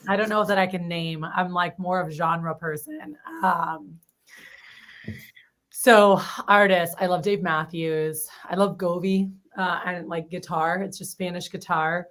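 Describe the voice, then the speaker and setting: A woman being interviewed on a podcast, bubbly and chatty, quick to laugh. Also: lowish voice, steady tone, fairly bright tone. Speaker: podcast guest. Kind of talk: casual interview.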